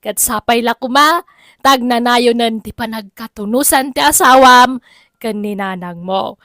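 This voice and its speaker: A woman narrates an Ilocano drama as its storyteller. Her voice is fairly high, and she speaks in a radio storytelling style. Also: a little slow, fairly bright tone, no room sound, studio quality.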